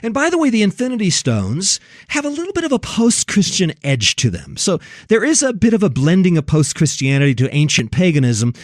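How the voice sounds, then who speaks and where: A man's voice: sits high, wildly swooping pitch, brisk, no room sound, clean studio sound. A man hosting a religious discussion, giving opinionated commentary in an emphatic, preacherly way that leans on key words.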